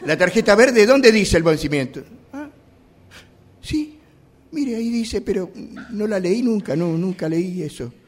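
An actor playing a flustered, evasive driver in a radio theatre exercise, stammering and repeating himself. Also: male voice, sits high, animated, unhurried. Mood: indignant, flustered. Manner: stammering, repetitive, hesitant. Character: flustered, evasive male driver pleading with police. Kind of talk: improvised radio theatre monologue.

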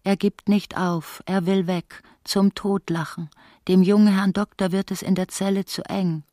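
A woman's voice: mid-range voice, little pitch movement, unhurried, dark tone, no room sound, studio quality, natural voice. An actress playing a middle-aged woman prisoner in an intimate radio play, tender, theatrical and wryly self-mocking. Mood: exasperated. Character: imprisoned ailing woman of forty-eight, tender, self-mocking, longing.

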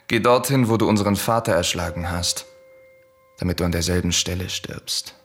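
An actor playing a cold, vengeful young prince in a radio play of Greek tragedy, stern and commanding with a husky voice. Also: male voice, deep voice, normal speed, a bit bright, a little hiss or hum. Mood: grim, commanding.

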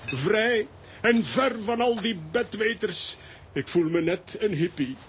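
An excitable, high-voiced bumbling fellow. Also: male voice, takes it slowly, background noise. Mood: cheerful. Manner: exclamatory, theatrical. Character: Lambiek, good-natured excitable bumbling adult man. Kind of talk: children's comic-strip radio play acting.

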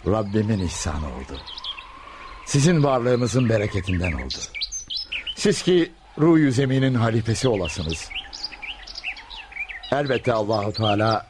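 Modest pious saint in a radio play, formal and courteous, animated intonation, lots of noise. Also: male voice, fairly low pitch, a little slow, pauses often. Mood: humble, reverent. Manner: deferential, formal. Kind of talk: Turkish radio theater acting. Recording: noisy radio drama with birdsong.

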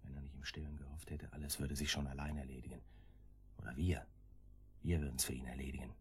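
An actor in a German radio drama playing a brooding, soothing gangster sidekick with a husky voice and a muttering, placating manner. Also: male voice, very deep voice, little pitch movement, quick pace.

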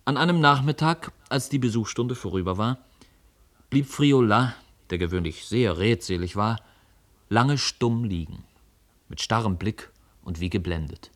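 A man narrating a literary radio play as an expressive, measured storyteller. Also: fairly low pitch.

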